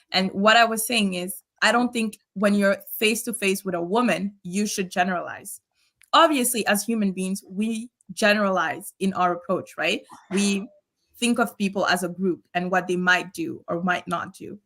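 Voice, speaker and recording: Measured woman. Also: mid-range voice, a bit bright. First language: French. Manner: measured, questioning, some hesitations. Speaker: podcast panelist. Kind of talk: panel debate.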